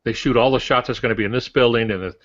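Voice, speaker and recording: A man's voice: medium pitch, very fast, a bit bright. A man in his sixties co-hosting a podcast, explaining things in casual talk. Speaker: podcast co-host, professional writer. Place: room lined with shelves of typewriters. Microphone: earbuds on a video call. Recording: dull video-call audio.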